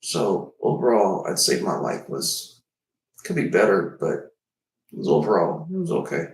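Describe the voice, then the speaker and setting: A man in his twenties rambles through a casual livestream, drifting between topics with self-deprecating asides. His voice sits very low and swings widely in pitch. He is recorded in an echoey room, some distance from the mic. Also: wildly swooping pitch, normal speed, gravelly. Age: 20s.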